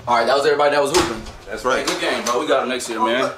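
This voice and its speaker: A man acting in a comedy sketch as basketball players in a locker room, loud and teasing, shouting between laughs. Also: medium pitch, rapid-fire, noisy background, natural voice. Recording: echoey room clip.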